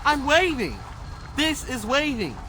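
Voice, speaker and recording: A man playing a playful, friendly, excitable teenage mutant turtle in an animated video game. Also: very high voice, slow, background noise, exaggerated voice. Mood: friendly, defensive.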